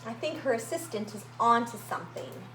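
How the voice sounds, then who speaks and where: A woman reading a picture book aloud to young children, with expressive, dramatic emphasis and drawn-out words. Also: on the high side. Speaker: children's story-time reader at a library. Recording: roomy echo, faint background noise.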